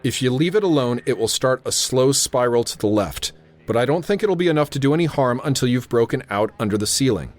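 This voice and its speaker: An actor playing a tense, authoritative airfield controller in a radio drama, narrating and giving orders with clipped urgency. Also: male voice, mid-range voice, animated, a little fast, natural voice. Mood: stern, insistent. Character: tense, commanding male airfield tower controller narrating a crisis.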